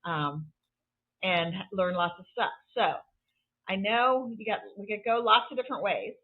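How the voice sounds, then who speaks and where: Woman in her sixties, podcast host, husky voice, upbeat chatty delivery, thin phone-line sound. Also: mid-range voice, unhurried. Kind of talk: conversational podcast interview.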